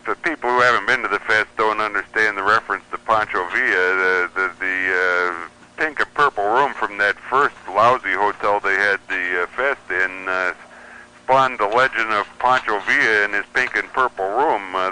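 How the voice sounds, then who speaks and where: A man's voice: fairly low pitch, pushed and bright, low-quality recording. A man speaking as an interview guest, telling casual anecdotes in a wry, rambling way.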